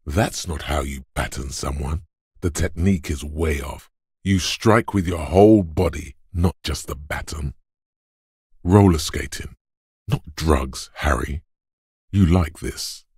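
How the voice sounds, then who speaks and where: Gruff video game voice of raw muscle, extremely low, husky and raspy, domineering, scornful coach. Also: male voice, darkish tone, dry recording, studio quality, heavily acted. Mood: stern coaching. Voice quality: gravelly, husky, rumbling. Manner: drill-sergeant coaching, scornful, deliberate. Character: gruff, domineering inner voice of brute physical strength. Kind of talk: video game character narration.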